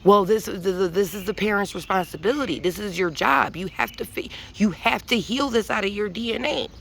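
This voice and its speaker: A woman's voice: medium pitch, fairly quick, vocal fry, fairly bright tone, slight background noise. A woman giving an emphatic, profane spiritual monologue with many restarts, rambling and preachy. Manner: intense and preachy. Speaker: vlogger.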